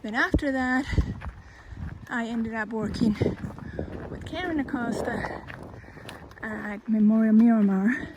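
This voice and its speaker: A woman in her fifties from Colombia with a Colombian Spanish accent, slowly telling her life story, pausing and correcting herself. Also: fairly high pitch, lo-fi sound. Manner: slow, thoughtful, with pauses and self-corrections. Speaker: hiker and vlogger. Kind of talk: personal life story, casual. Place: outdoors on a trail. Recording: outdoor recording with background noise.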